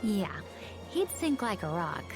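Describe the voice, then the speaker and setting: A woman's voice: mid-range voice, very animated, slow pace. Composed, secretive young warrior woman. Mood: calm, explanatory. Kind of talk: scripted video game voice acting. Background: game music.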